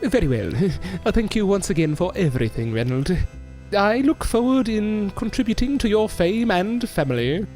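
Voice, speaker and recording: Man playing a high-voiced, genteel gentleman entertainer. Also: very animated, normal speed. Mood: grateful, gracious. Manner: very polite, formal, flowery phrasing. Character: genteel, modest male ventriloquist, courteous and flattering. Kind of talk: scripted audio drama acting. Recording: music behind the voice.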